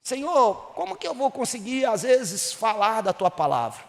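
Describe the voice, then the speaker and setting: A Brazilian pastor from Minas Gerais with a Mineiro accent, preaching a sermon that rises to emphatic bursts and drops low again. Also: male voice, very animated, normal speed, creaky, in a large hall. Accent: Mineiro Brazilian Portuguese. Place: church hall.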